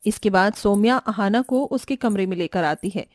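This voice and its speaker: A woman's voice: mid-range voice, moderate pace, a little mellow. A woman storyteller reading scripted narration for a domestic tale.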